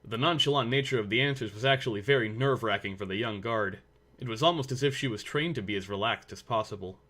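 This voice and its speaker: Guy narrating a fiction story, lowish pitch, scripted read. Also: fast talker, a bit bright.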